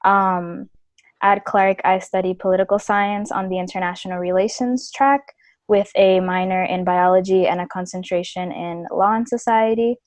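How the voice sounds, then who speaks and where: A woman's voice: medium pitch, fairly even intonation, moderate pace, dry recording. A woman in her twenties from Ecuador, raised in New Jersey, introducing herself chattily as a student panelist on a video call. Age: 20s. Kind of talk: casual self-introduction.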